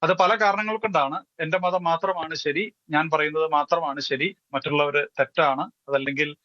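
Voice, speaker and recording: A Malayali man speaks on a television debate panel in a forceful, fairly high voice. He explains his points haltingly, dropping in English phrases. The sound is close and dry but somewhat dull. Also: fairly even intonation, no room sound. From Kerala, India. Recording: dull, lo-fi audio.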